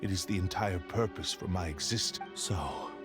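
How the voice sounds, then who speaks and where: A man playing an ancient, remorseful spirit of a cursed blade in a video game, speaking gravely in formal, old-fashioned phrases. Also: low-pitched, moderate pace, music in the background, lightly acted. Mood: solemn, pleading.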